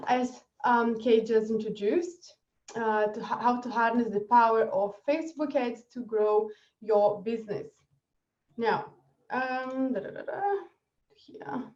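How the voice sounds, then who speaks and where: A woman from Brazil with a Brazilian accent, giving an energetic webinar presentation and laughing often. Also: fairly high pitch, distant mic. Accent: Brazilian Portuguese. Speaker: marketing presenter. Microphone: video call. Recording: echoey dull video call audio.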